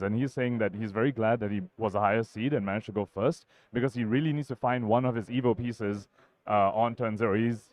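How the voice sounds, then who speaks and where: A man's voice: medium pitch, darkish tone, dry recording. A man giving analytical live commentary as a caster at a card game tournament. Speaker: esports-style tournament commentator.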